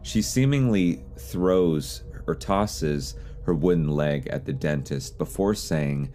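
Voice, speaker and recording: A man's voice: rumbling low, lively intonation, slow, mellow, soft background music. A man hosting an explainer series in a semi-scripted narration style. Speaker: host of an analog horror explainer series.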